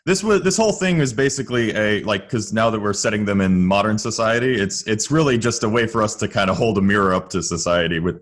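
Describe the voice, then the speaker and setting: Low-pitched man chatting as a guest. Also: quick pace, few pauses. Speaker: podcast guest, comedy writer. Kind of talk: casual interview chat.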